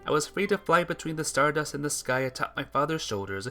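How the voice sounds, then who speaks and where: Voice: medium pitch, lively intonation, fast, pushed and bright. A person reading a sentimental story aloud in an earnest narrating style, stumbling now and then. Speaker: visual novel player reading the text aloud. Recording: music behind the voice.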